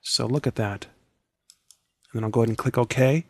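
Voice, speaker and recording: A man with a scratchy, worn voice, talking viewers through a screen-recorded software tutorial step by step in a relaxed, chatty way. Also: mid-range voice, moderate pace. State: scratchy, losing his voice.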